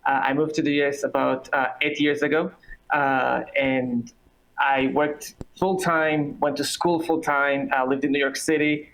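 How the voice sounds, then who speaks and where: A man's voice: mid-range voice, little pitch movement, measured pace, fairly bright tone, rough recording. A man from Israel with an Israeli accent, answering interview questions over a video call, earnest and hesitant with frequent uhs. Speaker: guest, coffee company founder.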